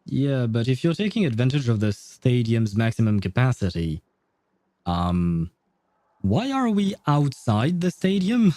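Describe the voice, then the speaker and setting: Male livestreamer, soft mellow tone, halting amused rambling, casual gaming chat, dry close sound. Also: mid-range voice, very animated, no room sound.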